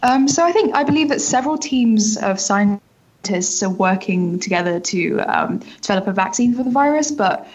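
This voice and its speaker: A woman's voice: medium pitch, expressive, normal speed, breathy edge. A woman journalist explaining things as an interview guest, hedging often and leaning on filler words as she goes. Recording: dull, lo-fi audio.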